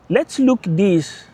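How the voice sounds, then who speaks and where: A man with a Portuguese-speaking accent and Portuguese as his first language, hosting his own channel with emphatic, animated political commentary and brief laughs. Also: high voice, breathy edge. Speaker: channel host.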